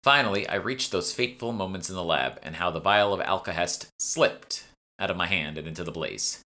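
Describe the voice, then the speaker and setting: A man's voice: low-pitched, fairly quick, sharp tone. A man reading fantasy fiction as a scripted audiobook narration into a close microphone. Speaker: author reading own audiobook. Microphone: close USB desktop microphone.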